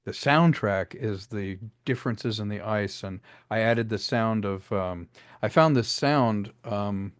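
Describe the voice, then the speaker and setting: A man's voice: fairly low pitch, unhurried, smooth, dry recording. Dry-sounding man explaining his craft. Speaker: audio drama director and performer. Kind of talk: casual interview commentary.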